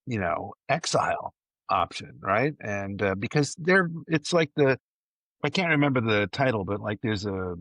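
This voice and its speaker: A man's voice: on the low side, animated, moderate pace, a bit bright, no echo. A man chatting casually on a podcast panel, rambling along with enthusiasm. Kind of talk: casual chat.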